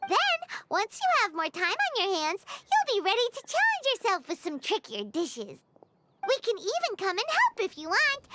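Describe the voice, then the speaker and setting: A woman voicing a small, childlike, cheerful and excitable fairy companion in an animated game, with a squeaky voice. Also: full character voice. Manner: bubbly, third-person self-reference, salesperson pep. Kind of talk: animated game character acting. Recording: loud background music.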